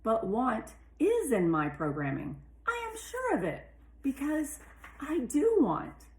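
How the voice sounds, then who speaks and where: A woman's voice: high voice, wildly swooping pitch, takes it slowly, darkish tone, pitched above the performer's own voice, full character voice. A woman narrating as a curious, earnest robot rover longing to succeed, careful and precise, in a roomy storybook recording. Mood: yearning. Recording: roomy, echoey sound.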